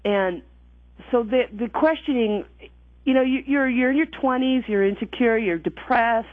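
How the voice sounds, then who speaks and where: A woman's voice: fairly high pitch, normal speed, no room sound. A woman guest earnestly recounting her own faith journey, thinking aloud and correcting herself as she goes, heard over a phone line. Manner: earnest, reflective, self-correcting. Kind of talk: personal testimony in conversation.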